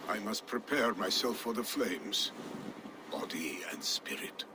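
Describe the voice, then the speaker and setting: An aged loyal warrior facing death; low, grave, quiet. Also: male voice, animated, unhurried, background noise. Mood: resigned. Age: older. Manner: grave, resigned. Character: old loyal male Viking warrior. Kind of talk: video game voice acting.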